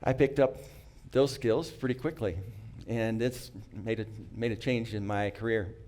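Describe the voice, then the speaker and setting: A middle-aged man, not a native English speaker, telling a prepared personal story in an earnest, reflective way, with small restarts and frequent throat-clearing. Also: fairly low pitch, fairly even intonation, a little slow, darkish tone.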